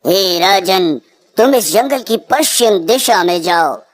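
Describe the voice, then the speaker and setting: A woman's voice: mid-range voice, lively intonation, slow. A woman voicing a grave old hermit sage in a cartoon, speaking in a solemn, stately way. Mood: solemn, counselling. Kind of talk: cartoon character acting.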